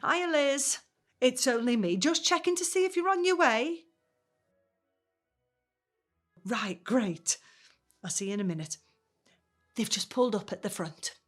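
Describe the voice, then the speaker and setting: A woman's voice: on the high side, animated, normal speed, soft background music, natural voice. An actress playing a plain-spoken working-class mother in her seventies, grumbling but fond, in a naturalistic audio drama. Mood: warm greeting. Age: 70s.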